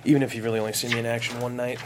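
A man acting an affectionate, easygoing divorced dad in a comedy script. He talks quickly at an average pitch, and there is faint noise behind him. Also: completely flat delivery, close to an everyday voice. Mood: casual, anxious. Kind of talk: naturalistic comedy script reading.

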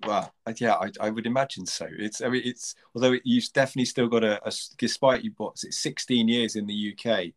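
A man's voice: lowish voice, fairly even intonation, quick pace, hardly any pauses. A man interviewing a guest, asking curious questions that restart and trail off. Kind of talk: casual conversational interview.

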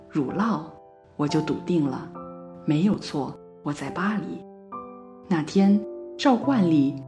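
A woman playing a bewildered Paris resident in a radio play monologue, telling her story deliberately with dramatic swells. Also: fairly low pitch. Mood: wistful, reflective. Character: woman living in Paris, bewildered, recounting a looping morning.

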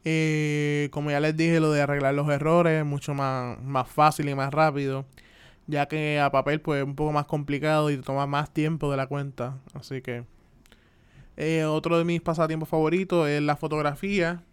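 A young man in his twenties talks with a Puerto Rican Spanish accent. His voice is very smooth and resonant and sits a little high, and he chats loosely on his own podcast, filling pauses with "este" and "pues". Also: little pitch movement. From Puerto Rico. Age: 20s. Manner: chatty, with frequent "este" and "pues" fillers. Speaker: podcast host. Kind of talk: casual solo podcast monologue.

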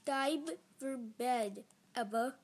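A woman's voice: sits high, very slow, exaggerated voice. A woman voicing a slimy female mucus monster with a congested, stuffed-up nose, in a children's storybook. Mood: sniffly.

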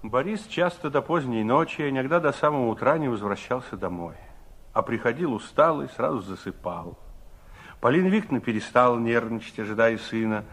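An actor playing a calm, kindly older man, soothing and gently wry, in a 1960s radio play. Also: mid-range voice, a little fast. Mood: reflective narration. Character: calm, kindly older man, reassuring family friend and storyteller.

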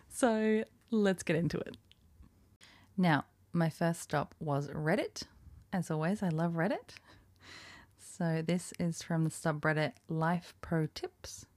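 A woman's voice: low voice, slow pace, long pauses, a little mellow, dry recording. A woman in her twenties hosting a casual solo podcast, chatty and self-deprecating, often laughing at herself. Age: 20s. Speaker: podcast host.

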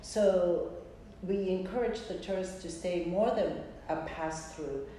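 Interview guest: mature woman, soft-edged low voice, halting conversational delivery, distant and very reverberant pickup. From Greece. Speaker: interview guest, hospitality entrepreneur. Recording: echoey room, distant mic, faint noise.

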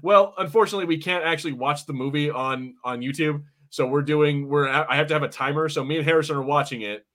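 A man's voice: a little high, fast talker, few pauses, a bit bright. A man co-hosting a podcast, giving casual watch-party commentary in a chatty, slangy way with some swearing. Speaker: podcast co-host.

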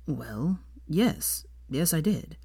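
A woman voicing a reserved, hesitant young man, a wizard in a story, who speaks quietly in tentative mumbles. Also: deep voice, animated, very slow, slightly rough, dry recording, studio quality, full character voice. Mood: quiet, bashful.

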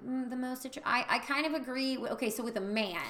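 A woman's voice: high-pitched, a bit bright, slight background noise. A young woman co-hosting a podcast, chatting candidly, reading out listener replies and swearing freely. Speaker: podcast co-host. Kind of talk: casual chat.

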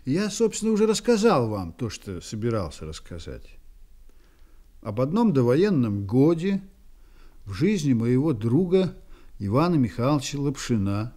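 Musing narrator telling his friend's story, radio play, mellow conversational voice. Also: medium pitch, sing-song, normal speed. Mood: reflective.

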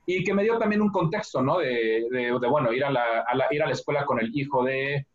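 A man from Mexico City with a Mexican accent, a guest telling his life story in a relaxed interview, full of filler words. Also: medium pitch, fairly quick, crowd noise. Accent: Mexican Spanish. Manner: many fillers like "este" and "¿no?". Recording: dull lo-fi audio.

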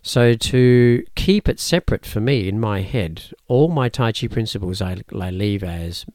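A man whose first language is Cantonese, a martial arts teacher explaining his teaching in a thoughtful interview, often pausing and restarting. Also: fairly low pitch, expressive, a little mellow, dry recording, clean studio sound. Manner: measured, thoughtful, with frequent fillers and restarts. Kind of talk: conversational interview answers.

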